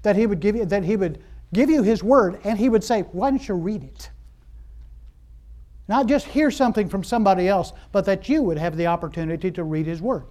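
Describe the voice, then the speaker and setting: Guy preaching, high voice for a man, chatty and rambly, lots of uh and restarts, gets worked up. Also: fairly quick, warm tone. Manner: rambling, conversational, frequent restarts and fillers. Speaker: pastor. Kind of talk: sermon.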